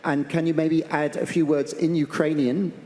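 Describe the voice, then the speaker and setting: A man's voice: fairly high pitch, level tone, moderate pace, warm tone. A man, a radio reporter asking polite, direct questions. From Australia. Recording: reverberant press hall.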